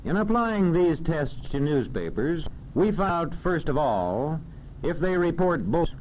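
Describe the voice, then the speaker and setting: High-pitched, lively American male narrator. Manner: formal, measured, didactic. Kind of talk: 1940s educational film narration. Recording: dull, faintly noisy old film soundtrack.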